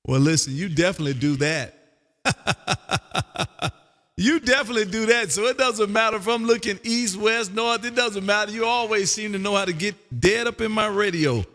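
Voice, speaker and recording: A man's voice: sits high, normal speed, fairly bright tone, long reverb tail. An American man hosting a casual amateur radio chat in a folksy way, often repeating his phrases. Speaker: amateur radio operator and live stream host.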